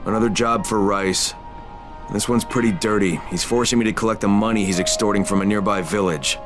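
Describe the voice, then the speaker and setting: A man's voice: fairly low pitch, fairly even intonation, a little fast, music in the background, natural voice. A man playing a weary, blunt undercover operative in a video game, with a wry, tough way of talking. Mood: resigned. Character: gruff, weary male undercover operative, reluctant enforcer. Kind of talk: video game cutscene acting.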